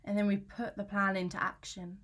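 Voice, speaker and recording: A woman's voice: medium pitch, flat delivery, fairly quick, fairly bright tone, close to an everyday voice. A woman playing an anxious, aggrieved young British student in a conversational, confessional dramatic monologue, chatty, slangy and self-justifying. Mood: guilty, confiding. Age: young adult. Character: anxious young female student, aggrieved and defensive about housemate.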